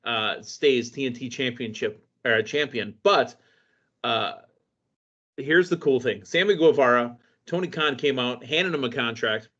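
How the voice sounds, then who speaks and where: A man's voice: mid-range voice, a little slow, fairly bright tone. A man hosting a wrestling podcast, recapping matches in casual, upbeat chat. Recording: dull lo-fi audio.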